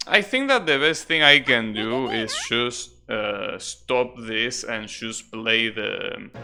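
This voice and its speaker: A man's voice: on the low side, vocal fry, sharp tone. A man giving casual live gameplay commentary as a streamer, often repeating words hesitantly and swearing offhandedly. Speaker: video game streamer. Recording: game music behind the voice. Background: video game music.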